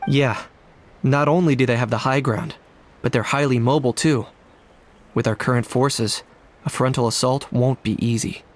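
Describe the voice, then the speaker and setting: A man's voice: mid-range voice, steady tone, normal speed, slight background noise, close to an everyday voice. A man voicing a level-headed young warrior and tactician in a video game. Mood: serious.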